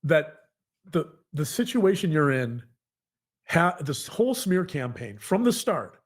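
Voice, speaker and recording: A man talking earnestly to a co-host in a halting, repetitive, emphatic conversational monologue. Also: fairly high pitch, very animated, slightly rough. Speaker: podcast co-host, psychologist.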